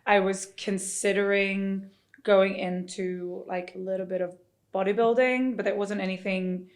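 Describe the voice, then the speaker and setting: A woman's voice: medium pitch, steady tone, measured pace. A woman from Sweden chatting casually as a podcast guest and fitness coach. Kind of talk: casual chat.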